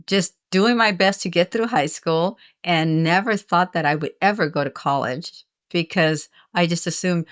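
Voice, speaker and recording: Earnest older woman, lowish voice. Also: fairly bright tone. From United States. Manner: earnest and reflective, with frequent restarts. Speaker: guest, author and retired teacher. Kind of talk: candid interview conversation.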